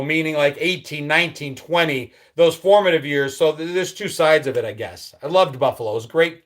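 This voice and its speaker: A middle-aged man from upstate New York chats casually on his live stream, reading out viewer comments and answering them. His voice sits a little high for a man, and his easygoing, nostalgic manner drifts into soft, trailing endings. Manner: nostalgic, easygoing, with frequent ums and trailing drops. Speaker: livestream host. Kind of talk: casual live-chat reminiscing.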